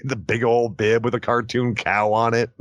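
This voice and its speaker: A man's voice: medium pitch, little pitch movement, moderate pace, a bit bright, no echo. A man co-hosting a comedy podcast, joking and riffing in casual banter. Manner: joking, riffing on absurd tangents. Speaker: podcast co-host.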